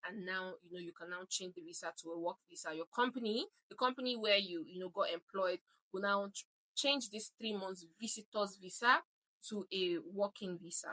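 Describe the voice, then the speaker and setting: A woman's voice: mid-range voice, bright, forceful tone, rough recording. A woman in her thirties from Nigeria with a Nigerian accent, giving emphatic advice in a vlog and often checking in with "okay?". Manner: emphatic, repeats "okay?" to drive points home. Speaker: vlogger.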